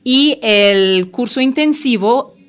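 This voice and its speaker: Jamaican woman explaining with steady encouragement. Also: on the high side, takes it slowly, smooth. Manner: encouraging, explanatory, frequent tag questions. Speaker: language school representative. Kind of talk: conversational interview.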